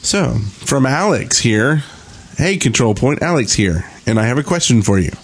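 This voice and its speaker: A man's voice: mid-range voice, lively intonation, normal speed, breathy edge. A man hosting a gaming podcast in casual, conversational talk. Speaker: podcast host.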